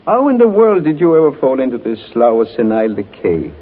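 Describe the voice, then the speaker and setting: An actor playing a kindly, amused older doctor in an avuncular, gently teasing 1940s radio drama style. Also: male voice, medium pitch, sing-song, fairly quick. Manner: avuncular, hesitant, chuckling. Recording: muffled old 1940s radio recording.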